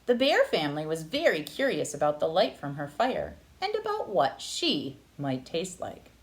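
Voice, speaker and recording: A woman narrating a children's picture book aloud with expressive, dramatic phrasing. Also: low voice, moderate pace, low-quality recording. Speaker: read-aloud storyteller.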